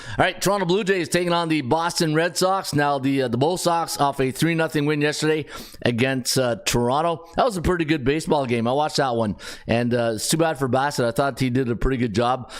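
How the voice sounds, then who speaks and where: A middle-aged man hosting a sports betting podcast, his voice raspy and strained, rambling through odds with fillers and self-corrections. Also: on the high side, a little fast. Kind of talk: casual sports betting rundown.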